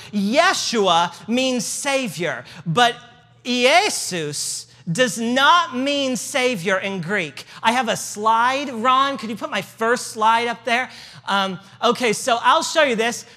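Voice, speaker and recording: A man with a Midwestern accent lectures to a room in a loose, joking way, full of asides and fillers. His voice is bright and forward. A long hall reverb trails each phrase. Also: extremely high pitch, animated, slow pace. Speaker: church history speaker. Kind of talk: lecture with slides.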